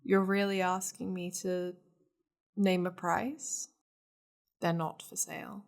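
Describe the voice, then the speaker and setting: A woman voicing a seductive, possessive demon lady in an ASMR roleplay, languid and teasing, slipping into breathy half-whispers. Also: fairly low pitch, level tone, slow. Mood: possessive, guarded. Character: seductive, possessive powerful demon lady doting on her pet.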